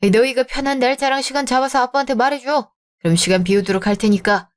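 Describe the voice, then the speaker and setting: A woman's voice: sits high, quick pace, close to an everyday voice. A woman voicing a fond, doting father in a radio drama. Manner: warm, fond. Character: doting widowed father, fond older man.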